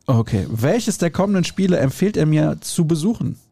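A man's voice: fairly high pitch, big pitch swings, moderate pace, vocal fry, warm tone, slightly rough, no echo. A man hosting a podcast in a studio, chatting and teasing his guest in a joking way. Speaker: podcast host. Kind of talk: casual chat.

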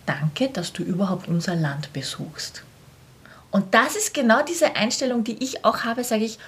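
A woman with an Austrian accent in a casual podcast conversation, speaking candidly as a teacher, with blunt slang and acted-out quotes. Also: on the low side. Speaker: language teacher.

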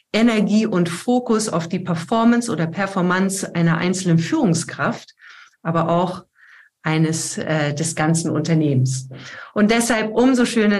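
Woman hosting, introducing a guest. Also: fairly low pitch. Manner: friendly, laughing often. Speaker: podcast host.

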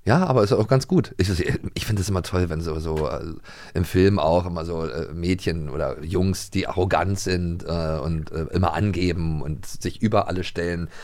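A man's voice: low voice, normal speed, darkish tone, dry recording. A German man co-hosting a podcast, giving his opinions in an earnest, hesitant way. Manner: earnest, hesitant, many fillers. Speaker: podcast co-host. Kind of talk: casual chat.

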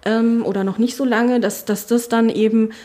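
A woman's voice: fairly high pitch, steady tone, normal speed, mellow. A middle-aged woman, a politician, talking casually in an interview, thinking aloud with many fillers and restarts. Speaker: politician, spokesperson for family and education. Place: her constituency office.

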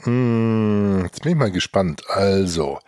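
Low-voiced man co-hosting a podcast. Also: expressive, slow pace, a little mellow, dry recording, studio quality. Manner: nerdy, technical. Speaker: podcast host. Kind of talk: casual podcast review discussion.

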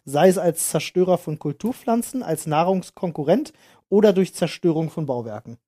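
A woman's voice: lowish voice, animated, normal speed, dark tone. A woman chatting casually among friends on a podcast, using blunt, colloquial slang. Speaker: podcast host.

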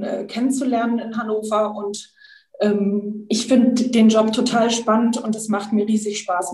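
A woman working as a financial advisor, speaking warmly with many fillers. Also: medium pitch. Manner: warm-hearted, many fillers. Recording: echoey room.

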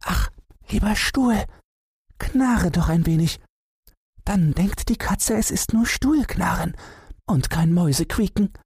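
Man voicing a timid girl mouse, squeaky high voice, plaintive begging, storybook. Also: animated, slow, a little mellow, slightly rough, dry recording, studio quality, exaggerated voice. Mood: frightened. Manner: pleading, plaintive. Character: timid little female mouse, pleading. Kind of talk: storybook reading.

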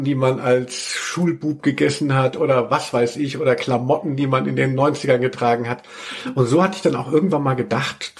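A middle-aged man talks as a podcast host at a medium pitch. His delivery is casual and conversational, with an ironic, tongue-in-cheek way of putting things.

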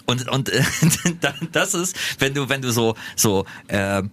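A man in his forties, a radio host, chatting casually on a podcast with many quick restarts. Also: mid-range voice, expressive, a bit bright, a little hiss or hum. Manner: fast, halting, many restarts.

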